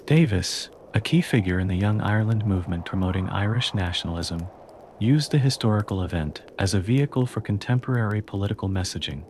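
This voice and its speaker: A man reading a calm, scripted history narration meant for sleep, in a slow and measured way. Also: on the low side, a little hiss or hum.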